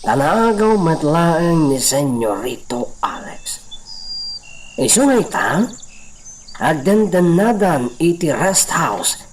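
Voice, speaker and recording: A humble male servant in a radio serial. He speaks slowly and deferentially, with an animated, plaintive melody, over a noisy recording. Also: a little high. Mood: hesitant, reporting. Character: Baldo, humble male hacienda servant, loyal but grumbling. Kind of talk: Ilocano radio drama acting.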